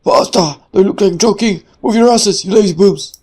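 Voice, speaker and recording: A woman voicing an overworked, irritable male factory boss who yells and scolds in a visual novel game. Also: mid-range voice, normal speed, breathy edge, voice pushed up, lightly acted. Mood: angry yelling. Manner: yelling, scolding.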